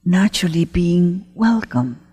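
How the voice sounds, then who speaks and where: A woman leading a guided meditation as a teacher, gentle and unhurried, pausing often between short phrases in a breathy voice. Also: fairly low pitch, animated. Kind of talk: guided meditation, spoken slowly to listeners. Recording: long hall-like reverb.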